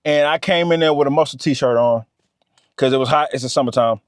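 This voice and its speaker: A man's voice: mid-range voice, fairly quick, no echo, clean studio sound. A man, giving a motivational talk to camera. He talks straight to the viewer and repeats his points. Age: 30s. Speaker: vlogger.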